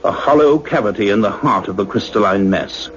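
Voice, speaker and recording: Male, acting grave, intense doctor of science in radio drama; lowish pitch, muffled lo-fi recording with faint music. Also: lively intonation, a little hiss or hum, lightly acted. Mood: awed, tense narration. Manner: deliberate, intense, dramatic phrasing. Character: earnest male scientist, doctor, excited yet grave and cautious.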